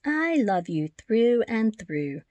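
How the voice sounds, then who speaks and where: A woman reads a children's storybook aloud. Her mid-pitched voice moves expressively up and down with a gentle, affectionate delivery, and the sound is dry and close. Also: very animated, slow, no room sound, dull audio. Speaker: storybook reader.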